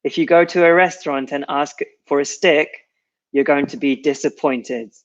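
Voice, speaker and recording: A man's voice: a little high, fairly even intonation, moderate pace, highs cut off. A man teaching English in a casual online lesson, patiently correcting pronunciation and joking with his co-host.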